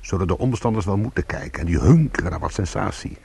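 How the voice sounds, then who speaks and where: A man's voice: low-pitched, lively intonation, fast, vocal fry, darkish tone, slightly rough, exaggerated voice. A man playing a bitter, sardonic small-time ex-convict in a Dutch radio play, protective and combative. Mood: tense narrating. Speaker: lead actor and first-person narrator.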